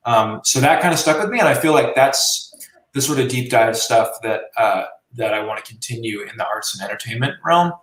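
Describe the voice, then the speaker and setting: A man's voice: medium pitch, animated, brisk, a bit bright, far from the mic. A man in his late twenties, a musician and journalist being interviewed, talking casually and rambling, with many fillers. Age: 20s. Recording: roomy and from the mic.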